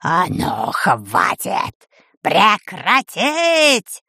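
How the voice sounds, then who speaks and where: A woman voicing a menacing old plague sorceress from a fantasy storybook, slow and commanding, with a creaky voice. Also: medium pitch, wildly swooping pitch, sharp tone, dry recording, studio quality, full character voice. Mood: furious command. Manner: slow, drawn-out, menacing delivery.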